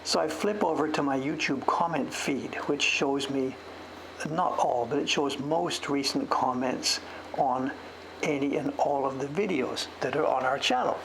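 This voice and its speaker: A man's voice: medium pitch, measured pace, a bit bright, slight background noise, low-quality recording. A man chatting casually on a livestream while he carves, rambling and self-deprecating, chuckling as he tells a story. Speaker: streamer and woodblock print carver.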